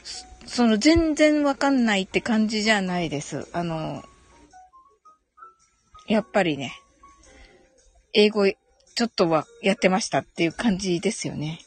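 A woman's voice: medium pitch, animated, unhurried, long pauses, soft background music, low-quality recording. A woman hosting a live audio stream, chatting casually and politely as she reads and answers comments, with frequent agreeing hums and giggles.

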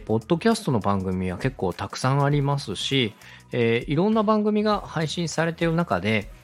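Guy hosting a how-to podcast, really animated pitch, polite and explains step by step, faint music behind. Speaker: host, radio director teaching podcast production. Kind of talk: instructional podcast talk with a co-host.

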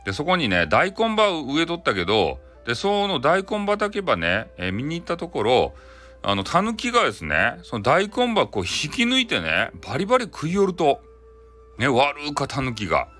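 A man's voice: a little high, wildly swooping pitch, normal speed, bright, forceful tone, faint music behind. A man with a Hakata accent telling a bedtime folktale in a casual, chatty, colloquial way. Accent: Hakata, Japan. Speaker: storyteller.

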